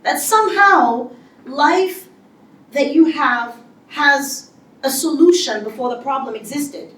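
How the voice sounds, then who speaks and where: A woman giving a motivational speech to an audience, telling her story in a lively way and acting out what people said. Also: high voice, slow pace, distant mic. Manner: animated storytelling with acted-out quotes. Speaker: speaker. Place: large hall before an audience. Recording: echoey hall, voice from afar.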